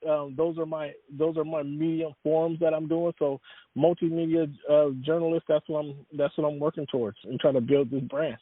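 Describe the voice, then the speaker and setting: A man's voice: fairly high pitch, little pitch movement, moderate pace, dry recording. A man being interviewed about his work as a sports writer, talking in a rambling way with many fillers and restarts. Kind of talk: casual interview talk. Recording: dry, tinny phone-like line.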